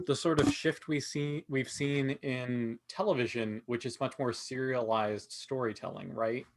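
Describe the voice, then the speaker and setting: A man's voice: medium pitch, fairly even intonation, measured pace. Analytical man in a panel discussion. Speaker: podcast co-host. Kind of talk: casual panel discussion of television.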